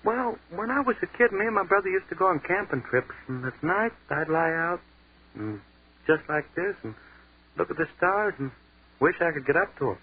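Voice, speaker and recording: An actor playing an easygoing young army sergeant with a folksy, slangy way of talking, in a 1940s radio drama. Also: male voice, sits high, animated, normal speed, husky, full character voice. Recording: tinny 1940s radio broadcast.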